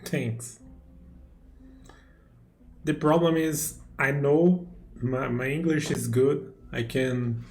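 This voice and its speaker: A man chats to his viewers during a live game stream. He speaks slowly with a gravelly edge. He halts and hunts for English words as he goes. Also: medium pitch, steady tone, darkish tone, slight background noise. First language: Portuguese. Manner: halting, searching for words. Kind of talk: casual live gaming chat.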